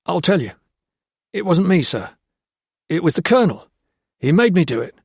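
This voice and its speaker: A man's voice: sing-song, moderate pace, breathy edge, no room sound, clean studio sound, exaggerated voice. A man doing a whining, terrified storeman. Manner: babbling, pleading, high and frantic. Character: terrified cringing young male storeman, whining.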